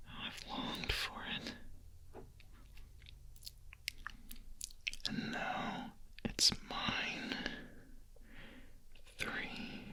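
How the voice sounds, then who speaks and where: A man playing a hungry, nervous, lovestruck young vampire in an ASMR roleplay, speaking in a breathy, husky, hesitant whisper. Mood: hungry, tender. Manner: hesitant, halting, confessional.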